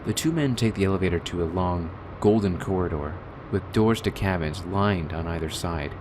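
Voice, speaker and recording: A man's voice: low voice, moderate pace, noisy background. A man reading scripted, descriptive narration for an audio drama.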